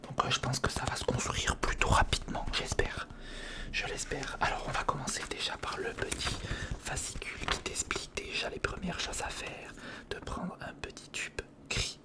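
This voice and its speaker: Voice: mid-range voice, moderate pace. An ASMR creator whispering casual step-by-step commentary on a hands-on build, murmuring directly to the listener.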